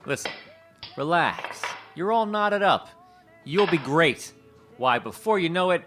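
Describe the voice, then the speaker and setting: A man playing a lively, fast-talking talent scout. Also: mid-range voice. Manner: salesman's patter, folksy slang. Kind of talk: audio drama acting.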